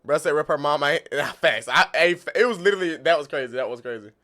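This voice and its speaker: A man's voice: a little high, animated, fast, bright, forceful tone. A man streaming live, commenting casually on a video in a laid-back, slangy way, often laughing and repeating himself.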